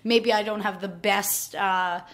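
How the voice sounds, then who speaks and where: A woman's voice: mid-range voice, steady tone, a little slow. A woman answering interview questions confidently, talking freely with "like" and "I mean". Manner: confident, talkative, uses "like" and "I mean". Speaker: graduate student guest.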